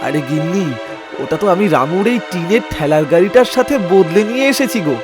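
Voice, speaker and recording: A man playing a poor, honest, simple-hearted cart puller in an animated moral story, speaking in an earnest, plaintive, folksy way. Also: sits high, brisk, music in the background. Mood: explaining.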